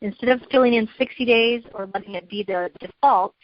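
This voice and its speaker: A woman's voice: a little high, fairly quick, no room sound. A woman trainer giving an instructional talk on a conference call, explaining step by step with worked examples and checking that listeners follow. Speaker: real estate trainer leading a training call. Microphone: conference call line. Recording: dull, lo-fi conference call audio.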